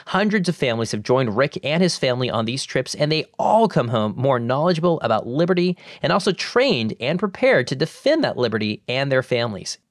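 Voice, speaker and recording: A man hosting the show, reading an ad and narration in a smooth, even announcer delivery. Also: medium pitch, brisk, few pauses, dry recording, studio quality. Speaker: host. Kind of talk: scripted narration and ad read.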